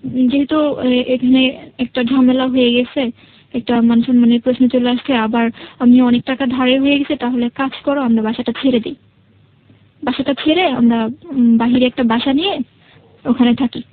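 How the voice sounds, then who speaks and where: A woman with a Bangladeshi accent telling her life story as a radio interview guest, in halting, repetitive, colloquial speech. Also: high voice, steady tone, phone-quality sound. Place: radio studio.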